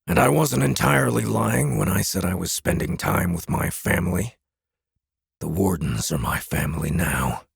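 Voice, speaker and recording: A man playing a cold, manipulative military commander and villain in an audiobook drama, calm and condescending in manner. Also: sits low, moderate pace, no echo, studio quality, exaggerated voice. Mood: calm, self-righteous. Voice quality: gravelly, husky.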